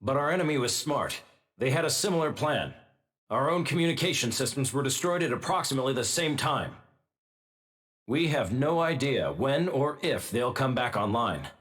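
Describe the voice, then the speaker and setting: A sober military officer in a video game, with a medium-pitched voice. He delivers a situation briefing in a formal, businesslike, matter-of-fact manner. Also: big pitch swings, moderate pace, fairly bright tone. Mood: grave, businesslike.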